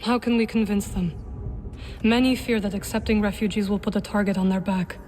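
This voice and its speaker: An actress playing a resolute, blunt young woman wanderer in a video game, speaking tersely and deadpan. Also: medium pitch, brisk, close to an everyday voice. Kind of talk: cinematic video game acting. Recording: music clearly behind.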